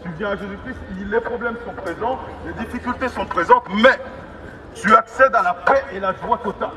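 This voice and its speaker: A man preaching in the open air through a megaphone, declamatory and repetitive, with halting restarts. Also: high-pitched, sharp tone. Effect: megaphone amplification. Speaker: street evangelist. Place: outdoors in a busy urban public space. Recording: megaphone voice outdoors, distant, echoing, street bustle. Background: street crowd and vehicles.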